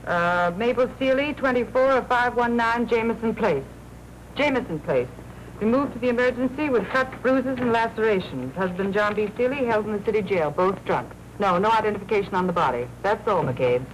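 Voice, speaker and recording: An actress playing a brisk, sardonic veteran newspaperwoman in a 1940s film noir. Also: mid-range voice, lively intonation, natural voice. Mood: businesslike. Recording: noisy 1940s film soundtrack.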